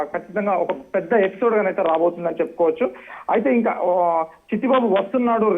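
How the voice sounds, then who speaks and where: A man giving a wordy, unscripted live news report as a reporter over a phone call. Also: sits high. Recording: tinny narrow phone line.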